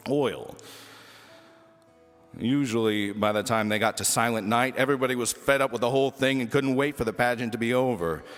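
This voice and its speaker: A man reading a humorous children's story aloud expressively, with dramatic pauses and emphasis. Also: fairly low pitch, a bit bright, big-room sound.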